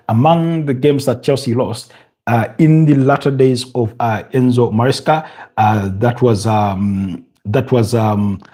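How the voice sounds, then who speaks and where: Ugandan man hosting a football stream, husky and mellow, rambling with lots of fillers and restarts. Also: mid-range voice. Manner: halting, many fillers and restarts, chats with viewers. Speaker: football podcast host. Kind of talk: casual live-stream football preview.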